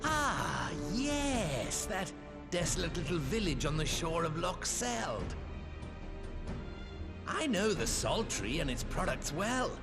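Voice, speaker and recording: An actor playing a shrewd, urbane, wealthy merchant in a video game, speaking in a florid, formal, calculating way. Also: male voice, high voice, sing-song, slow pace, vocal fry, heavily acted. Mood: smug, eager. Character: shrewd, urbane wealthy merchant magnate, profit-hungry gourmand. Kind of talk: video game cutscene acting. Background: loud music.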